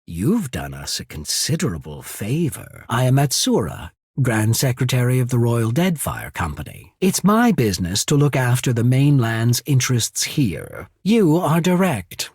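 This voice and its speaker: A man voicing a shrewd, courteous trading company secretary in a video game, speaking formally and diplomatically with subtle disdain and a husky voice. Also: mid-range voice, huge pitch swings, unhurried, a little mellow, no echo, studio quality, exaggerated voice. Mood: courteous, measured. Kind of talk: video game character voice acting.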